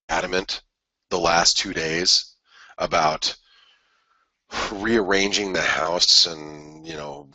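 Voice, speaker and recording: A man with a stuffy head cold and a raspy voice, hosting a trading webinar in a rambling, casual way with many restarts. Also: low voice, sharp tone, dry recording, rough recording. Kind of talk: casual market analysis talk.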